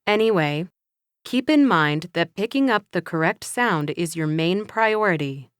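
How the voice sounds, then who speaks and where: A woman playing an encouraging but firm English teacher, speaking slowly and carefully in a scripted classroom dialogue. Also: fairly low pitch, expressive, smooth, no echo, studio quality. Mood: instructive. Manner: slow, careful, instructive delivery.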